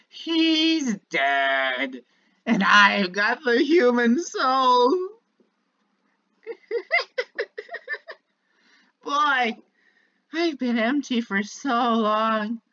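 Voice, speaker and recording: A woman's voice: a little high, bright, forceful tone, muffled recording, full character voice. A woman voicing a small, menacing talking flower from a game, theatrical and mocking, swinging between cutesy and cruel. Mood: gloating. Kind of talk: dramatic villain monologue from a game.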